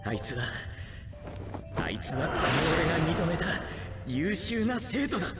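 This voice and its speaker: An actor playing an earnest, protective young teacher of ninja in an anime game, speaking with heartfelt emphasis. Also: male voice, fairly high pitch, big pitch swings, a little slow, over loud music. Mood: heartfelt, firm.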